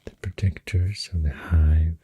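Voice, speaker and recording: An extremely low, mellow voice with a Swedish accent speaks slowly in soft ASMR style. It slips mostly into a breathy whisper, halting and soothing, close to the microphone. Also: fairly even intonation. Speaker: ASMR artist drawing while talking. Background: pencil writing and drawing sounds.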